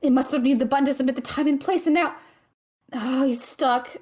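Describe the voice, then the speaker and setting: A woman's voice: high voice, steady tone, brisk, darkish tone, natural voice. A young woman playing an anxious, studious tech whiz student in a fantasy audio drama, speaking with earnest precision. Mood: panicked, guilty.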